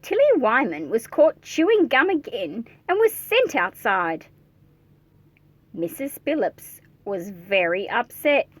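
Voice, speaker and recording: A woman with a fairly high, very lively voice reads a storybook to children. Her delivery is playful and expressive, slipping between narration and chatty remarks to the listeners. The sound is dry and close, with little room in it. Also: takes it slowly, no echo. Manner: animated, playful, addressing young listeners directly. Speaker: read-aloud host reading a picture book to children.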